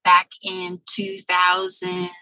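Woman guest in her thirties, bright husky tone, dry close sound, measured answers with fillers. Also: on the low side, completely flat delivery, no room sound. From Brazil. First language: Portuguese. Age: 30s. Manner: thoughtful, many um pauses. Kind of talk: interview answers.

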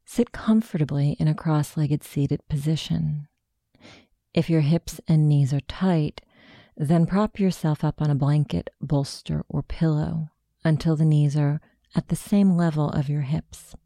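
A woman narrating a guided meditation, giving soft, deliberate, soothing instructions into a close microphone. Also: deep voice, steady tone, dry recording.

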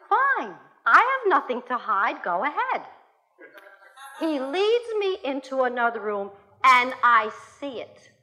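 Woman storyteller, Russian first language, bright tone, unhurried, very expressive pitch. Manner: animated, blunt, swears freely. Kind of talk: first-person storytelling to an audience.

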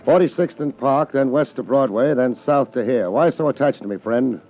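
An American actor playing a wry, world-weary private detective in a 1950s hardboiled radio drama, with deadpan wisecracks. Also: male voice, mid-range voice, normal speed, natural voice. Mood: dry banter. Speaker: lead radio actor and narrator. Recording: dull 1950s radio recording, music behind.

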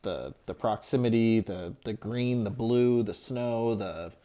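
A man's voice: fairly low pitch, a little slow, mellow. A man from Seattle hosting a casual chat, talking in rambling, enthusiastic lists. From Seattle, USA. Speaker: podcast host, real estate agent.

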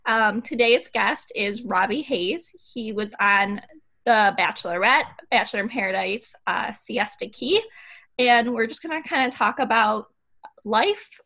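A woman's voice: on the high side, unhurried, bright, forceful tone. A young woman with an American accent hosting a podcast in a casual, chatty interview style. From Michigan, United States. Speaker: podcast host.

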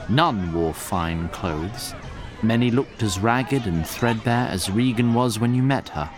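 A man narrating a scripted fantasy tale in a theatrical, deliberate and wry manner. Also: sits low.

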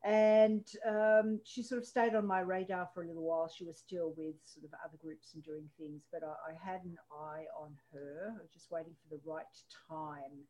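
A woman, a guest who organises paranormal tours, recounting events in a steady, measured way. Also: on the low side, dull audio. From Australia. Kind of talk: casual chat.

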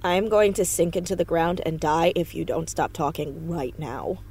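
A woman playing an anxious, defensive, bookish fourteen-year-old girl in an audio drama, stammering and halting. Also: deep voice, a little mellow, breathy edge. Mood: mortified. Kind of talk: naturalistic audio drama acting.

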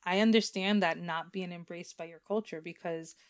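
A woman's voice: lowish voice, fairly even intonation, moderate pace. A young adult woman who is a guest on a podcast, talking casually, agreeable and laughing often. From Puerto Rican and Dominican family, in the US. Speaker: podcast guest and fellow podcast host.

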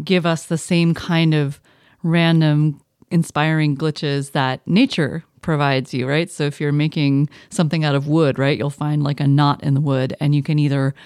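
A woman's voice: low-pitched, darkish tone, dry recording, studio quality. A woman hosting a panel interview, reflective and casual, often checking in with "right?". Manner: reflective, uses tag questions "right?".

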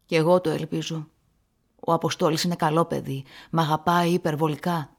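An actress playing a probing middle-aged woman in radio play dialogue, with a husky, creaky voice. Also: low voice, fairly even intonation. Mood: defensive. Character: probing middle-aged woman, engaged to be married, fishing for information.

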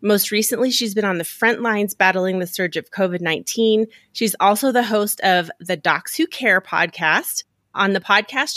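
A woman's voice: mid-range voice, a bit bright, dry recording, studio quality. A woman hosting a podcast, moving between a prepared introduction and casual interview chat. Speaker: podcast host.

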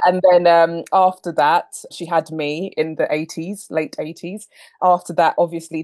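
A woman in her thirties from Zimbabwe answering interview questions in a measured, matter-of-fact way. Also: on the low side, darkish tone, no echo, studio quality. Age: 30s. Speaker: guest.

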